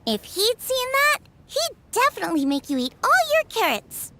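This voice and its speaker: Paimon, a shrill tiny fairy. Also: female voice, huge pitch swings, normal speed, very noisy, exaggerated voice. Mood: teasing. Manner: shrill, bouncy, teasing. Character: tiny chatty floating female fairy companion. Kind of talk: video game voice acting.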